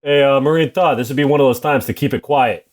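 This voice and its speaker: A man's voice: mid-range voice, fast, close to an everyday voice. Man playing matter-of-fact army captain. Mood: commanding. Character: gruff, practical male army captain named Fenway. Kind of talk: comedic radio-play reading.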